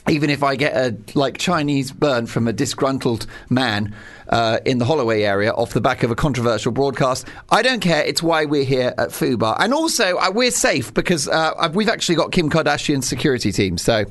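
A man's voice: mid-range voice, lively intonation, brisk, fairly bright tone. A man hosting a talk radio show in a studio, brash, jokey and teasing with his guests. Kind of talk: chatty talk radio presenting.

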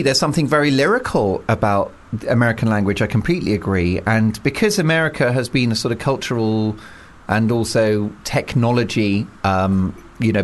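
A man's voice: fairly low pitch, a little hiss or hum. A man hosting a casual panel chat, wry and playful, from England. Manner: chatty, wry, interrupts playfully. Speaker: host.

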